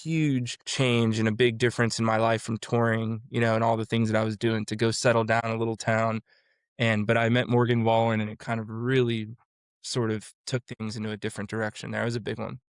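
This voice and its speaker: A man's voice: on the low side, flat delivery, fairly quick, smooth, no room sound, studio quality. A man in his forties from Florida, a vocal coach and producer, talking casually as an interview guest and rambling through his story with many restarts. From Florida, USA.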